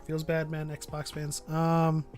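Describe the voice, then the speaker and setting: A man's voice: fairly high pitch, completely flat delivery, slow pace, a little mellow. A man hosting a casual livestream chat, reading out and answering viewer comments. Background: music.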